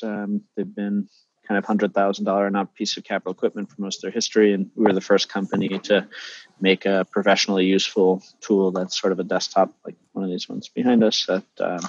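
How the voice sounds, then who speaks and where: A man's voice: fairly low pitch, no room sound. A man founder speaking on a video call panel, hesitant and full of ums. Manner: flat, hesitant with many ums. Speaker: company founder, panel guest. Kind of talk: unscripted panel talk. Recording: dry, dull lo-fi call audio.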